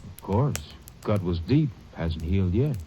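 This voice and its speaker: Small-town doctor, helpful, low mellow voice, old-time radio. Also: male voice, expressive, measured pace, a little hiss or hum. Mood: obliging. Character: country doctor, helpful and matter-of-fact. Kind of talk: 1950s radio drama acting.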